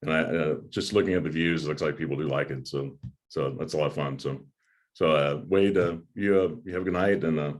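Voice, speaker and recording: A man hosting a movie review chat in a casual, halting way, often repeating his words. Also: rumbling low. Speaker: host of a movie review channel. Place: home room with a desk, on a video call.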